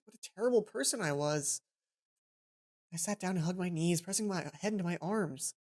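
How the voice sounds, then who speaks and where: A woman's voice: fairly low pitch, moderate pace, darkish tone. A woman doing a casual let's play, commenting playfully and reading visual novel text aloud. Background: game music behind the voice.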